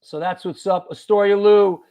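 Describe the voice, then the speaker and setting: A man hosts a live show and talks in a loose, chatty way. His voice sits high for a man. He reels off dates and greets viewers by name. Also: steady tone, normal speed, smooth, highs cut off. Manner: rambling, loose, reads out listener names and show dates. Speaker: podcast host. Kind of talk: casual live-stream chat.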